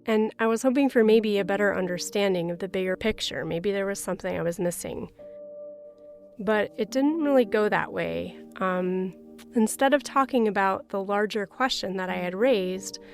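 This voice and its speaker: Reflective woman telling her story. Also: mid-range voice. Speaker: religious sister. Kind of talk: personal testimony narration.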